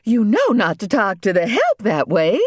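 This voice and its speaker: A woman voicing a fussy, flustered older wife who talks in a quick, evasive way. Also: medium pitch, wildly swooping pitch, slightly rough, no echo, studio quality, voice pushed up.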